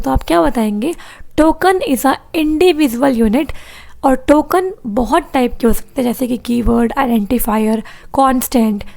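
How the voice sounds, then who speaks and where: A woman's voice: sits high, creaky, darkish tone. A woman teaching programming in an online exam-prep class, with a sore throat, explaining step by step and repeating key points.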